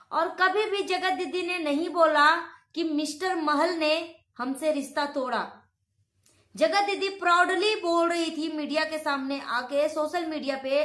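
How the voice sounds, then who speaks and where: A woman's voice: high-pitched, fairly bright tone. A woman giving opinionated gossip commentary in an accusatory manner, repeating phrases for emphasis. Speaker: online reaction commentator. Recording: roomy, echoing sound.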